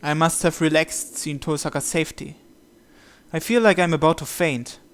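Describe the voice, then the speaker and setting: A man reading a visual novel's story text aloud as narration, in a measured, deliberate way. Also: a little high, fairly even intonation. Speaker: video game player reading the script aloud.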